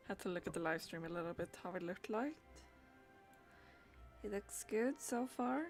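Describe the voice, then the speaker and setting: A woman's voice: mid-range voice, expressive, a little slow, soft background music. A woman streamer chatting casually in a hesitant, rambling, giggly way. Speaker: live streamer. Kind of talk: casual livestream chat.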